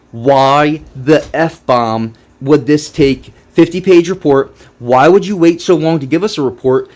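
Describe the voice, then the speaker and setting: Man, mid-pitched voice, gaming video host, casual read-through and rant, blunt, exasperated, sweary. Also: darkish tone.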